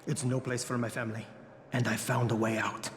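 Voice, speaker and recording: Mid-pitched, desperate family man played by an actor. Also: little pitch movement, a little fast, reverberant, slight background noise, close to an everyday voice. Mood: bargaining, earnest. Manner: earnest, pressing. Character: desperate family man bargaining to escape the slums. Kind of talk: video game cutscene dialogue.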